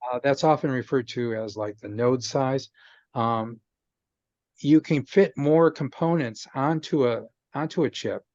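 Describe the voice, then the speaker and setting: A man's voice: mid-range voice, a little slow, darkish tone, dry recording, lo-fi sound. A man in a casual video call chat, explaining technical ideas patiently with lots of "um" and restarts. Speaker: guest explaining chip technology. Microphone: video call microphone.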